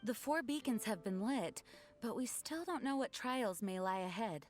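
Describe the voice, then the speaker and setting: A woman voicing a dutiful young female knight commander in an anime game, measured, formal and earnest. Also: on the high side, lively intonation, faint music behind, natural voice. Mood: cautious.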